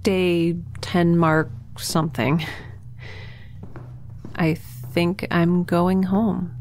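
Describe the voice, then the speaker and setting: Snarky young scientist gal, kinda low voice, blunt and curious, audio drama, bit of background hum. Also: female voice, little pitch movement, extremely slow, a little mellow. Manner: sarcastic, matter-of-fact. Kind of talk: audio drama acting, naturalistic.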